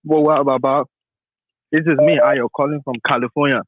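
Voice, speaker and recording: A man's voice: mid-range voice, fairly even intonation, normal speed, dry recording. A man in his thirties from Nigeria, calling into a talk show and rambling with many restarts. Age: 30s. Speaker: caller. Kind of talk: phone call to a talk show. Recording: tinny phone line, dry.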